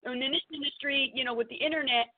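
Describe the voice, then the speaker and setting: High-voiced upbeat woman on a phone line. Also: steady tone, quick pace. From Trenton, New Jersey. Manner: upbeat, laughs often, chatty. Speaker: guest, blogging consultant. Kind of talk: casual radio interview.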